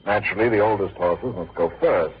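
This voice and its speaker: A middle-aged actor playing a kindly, patient father figure, hesitant and gentle, with an American accent, in old-time radio drama. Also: fairly low pitch, a little fast, slight background noise, close to an everyday voice. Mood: gently reluctant. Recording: dull lo-fi vintage radio recording.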